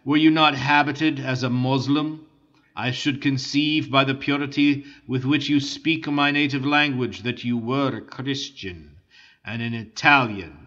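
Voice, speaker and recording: A man's voice: medium pitch, steady tone, unhurried, a bit bright, lo-fi sound, lightly acted. A man reading an audiobook voices a proud, impassioned young male Italian count held prisoner.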